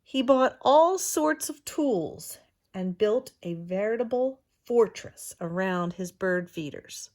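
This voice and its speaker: A woman reading a children's storybook aloud in a deliberate, expressive teacher's manner. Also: medium pitch.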